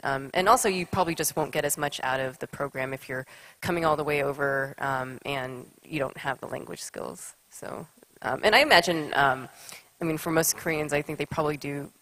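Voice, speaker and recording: A woman answers on a conference panel in a low, even voice. She speaks plainly, pausing on ums as she builds her point. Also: poor audio. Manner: measured, matter-of-fact, frequent ums. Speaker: accelerator partner on a panel.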